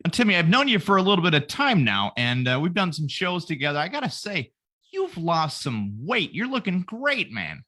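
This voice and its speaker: A man's voice: a little high, sing-song, moderate pace, pushed and bright. A man co-hosting a podcast in casual chat, rambling through crude roast jokes. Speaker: podcast co-host.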